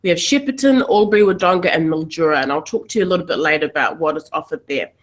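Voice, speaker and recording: Low-voiced New woman presenting. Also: fast. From New Zealand. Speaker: university recruitment coordinator. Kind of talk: webinar presentation.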